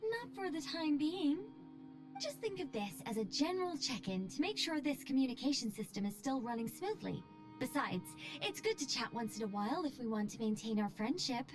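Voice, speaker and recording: High-voiced, poised angelic mage. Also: female voice, sing-song, moderate pace. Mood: breezy. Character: poised, playful, self-assured female angelic mage. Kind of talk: video game character dialogue. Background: background music.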